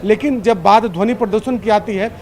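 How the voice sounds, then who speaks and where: A male correspondent gives an unscripted live news report. His voice sits quite high for a man, and he explains in long, run-on sentences, restating his points. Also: normal speed.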